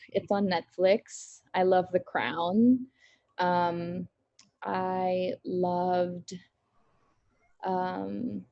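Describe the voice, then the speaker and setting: Woman in her 20s from the Philippines, bubbly video-call interviewee, mid pitch. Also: a little mellow, muffled recording. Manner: chatty, bubbly, fillers like "like" and "literally". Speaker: model interviewed at a press conference. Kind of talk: casual online press conference answers.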